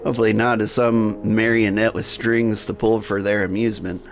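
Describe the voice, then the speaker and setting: A man with a fairly low, distinctly creaky voice hosts a game livestream over light music. He moves between reading out game text and casual, rambling asides with a joking streak. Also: little pitch movement, moderate pace.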